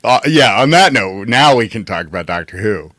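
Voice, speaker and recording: A man's voice: fairly low pitch, animated, moderate pace, sharp tone, dry recording, clean studio sound. A man co-hosting a podcast with casual, playful banter and a mock-dramatic streak. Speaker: podcast co-host.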